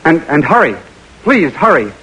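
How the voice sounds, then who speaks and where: An actor with an American accent playing an earnest, weary imprisoned army lieutenant in an old-time radio drama, speaking haltingly and intimately. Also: male voice, a little high, sing-song, low-quality recording. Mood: pleading, anxious. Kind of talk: 1940s radio drama acting, letter narration. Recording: vintage radio broadcast with music.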